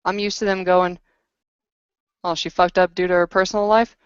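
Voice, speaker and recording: A woman playing a battle-worn werewolf woman who speaks in a terse, grave, halting storytelling manner in a tabletop roleplay. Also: lowish voice, level tone, clean tone, no room sound, highs cut off, natural voice. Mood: blunt.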